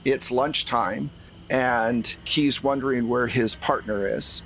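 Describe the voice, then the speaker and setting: A man's voice: medium pitch, takes it slowly, a bit bright, slight background noise, low-quality recording. A man acting as game master, improvising narration for a tabletop role-playing game in a conversational, explanatory way.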